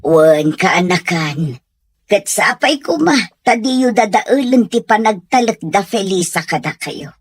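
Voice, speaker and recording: An actress with a Filipino accent playing a kindly, trusting middle-aged aunt in a radio drama. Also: female voice, medium pitch, lively intonation, slow, husky, dry recording, full character voice.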